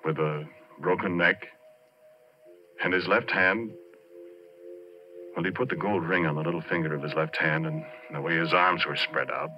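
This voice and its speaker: A man playing a folksy working-class oil driller, telling an eerie tale in halting, ungrammatical speech as 1940s radio drama. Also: low-pitched, fairly even intonation, close to an everyday voice. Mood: grim, shaken. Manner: colloquial, ungrammatical, halting, confiding. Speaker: narrator and lead character of a radio drama. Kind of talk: 1940s radio drama first-person storytelling. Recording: dull 1940s radio recording with music.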